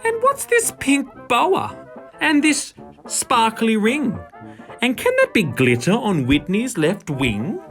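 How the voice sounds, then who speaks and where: A man's voice: very high-pitched, very animated, slow pace, a bit bright, soft background music, voice pushed up, exaggerated voice. A man voicing an elegant, dramatic, doting lady who keeps chickens, in a cooing, exclaiming storybook style. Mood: puzzled. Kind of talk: children's storybook character voice.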